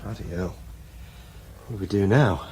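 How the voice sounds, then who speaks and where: A man's voice: low-pitched, normal speed, a little mellow, a little hiss or hum, natural voice, low-quality recording. An actor with a British accent playing a cautious, grumbling, reluctant man in a radio drama. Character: reluctant, grumbling, cautious man named Bill, dragged along.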